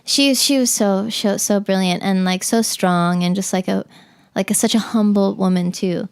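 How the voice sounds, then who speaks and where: Chatty podcast guest, a woman from the Cayman Islands, relaxed interview talk full of fillers. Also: mid-range voice, normal speed, creaky, clear, resonant tone. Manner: chatty, many fillers and restarts. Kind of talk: casual interview chat.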